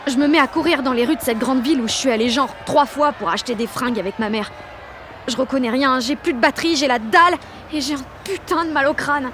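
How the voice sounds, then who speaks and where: A woman playing a brash, excitable sixteen-year-old girl with grandiose ideas, narrating an audio drama in slangy, dramatic first person. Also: sits high, quick pace, slight background noise. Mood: frantic. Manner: slangy, cocky, dramatic teenage delivery. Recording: music and street crowd behind the voice.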